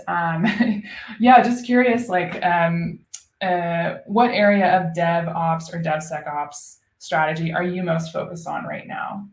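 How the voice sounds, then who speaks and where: A woman's voice: on the low side, roomy sound. A woman hosting a live online webinar as a solutions engineer, chatty and upbeat with frequent ums.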